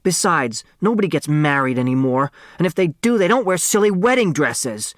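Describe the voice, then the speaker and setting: Man acting as a bratty young girl, high pitch, loud, snappy, defiant, storybook character. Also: expressive, normal speed, no echo, studio quality. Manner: snappy, blurting.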